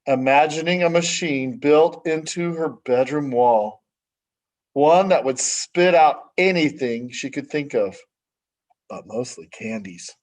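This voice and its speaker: Slow-paced man reading a picture book. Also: medium pitch, animated. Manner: measured, dramatic phrasing with hushed sentence endings. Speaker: story reader. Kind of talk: expressive children's picture book read-aloud.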